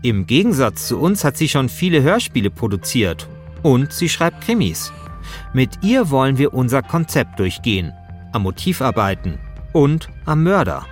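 A man narrates a podcast as its host in a scripted first-person style. His mid-range voice moves up and down in a lively way, with soft music behind it. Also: mid-range voice, moderate pace.

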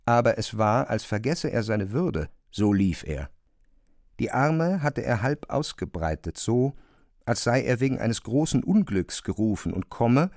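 A man's voice: medium pitch, no room sound, studio quality. A man reading scripted literary narration for a radio play.

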